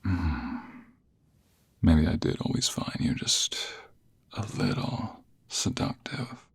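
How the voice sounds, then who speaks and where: A man acting out a smug, flirtatious office rival for an ASMR romance. His voice is extremely deep and very husky, often sinking into a breathy half-whisper. He takes his time, teasing and seducing with dramatic pauses. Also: sing-song, full character voice. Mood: teasing, amused. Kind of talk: ASMR romantic roleplay, close and intimate.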